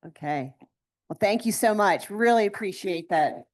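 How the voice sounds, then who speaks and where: A woman moderating audience questions at a conference, brisk and upbeat. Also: mid-range voice, lively intonation.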